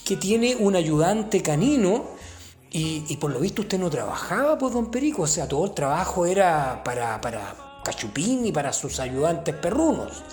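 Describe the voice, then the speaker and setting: A woman's voice: on the low side, very animated, normal speed, a little mellow, faint music behind. A woman hosting a mock talk-show interview, mock-formal and full of hesitant fillers. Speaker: host.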